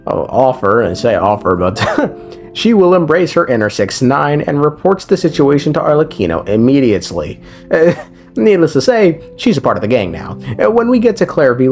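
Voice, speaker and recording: Young male creator, animated intonation, loose sweary recap delivery with music underneath. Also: medium pitch. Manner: slangy, profane, rambling, wryly joking. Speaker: video creator recapping a game story. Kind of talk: casual story recap commentary. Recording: music behind the voice. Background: background music.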